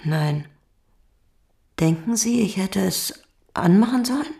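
A woman's voice: mid-range voice, expressive, slow, gravelly, dark tone. An actress playing an anxious, hesitant mother in a radio drama, speaking plainly. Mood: defensive, unsure.

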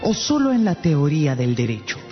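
A lively, inquisitive queen. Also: low-pitched, normal speed. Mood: dignified curiosity. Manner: formal, probing, well-articulated. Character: inquisitive, dignified queen questioning a foreigner. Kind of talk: radio drama acting. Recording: dull radio drama, music behind.

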